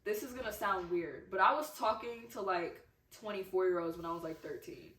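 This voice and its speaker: Young woman, soft-spoken, frank, casual podcast chat, mid pitch, roomy distant mic. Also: fairly quick. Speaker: podcast co-host. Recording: echoey room, distant mic.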